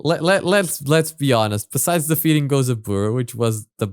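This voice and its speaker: Male reaction host. Smooth medium voice, casual halting delivery with repeats and swearing, very dry sound. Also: medium pitch, lively intonation, no echo. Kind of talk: casual spoken commentary on a video.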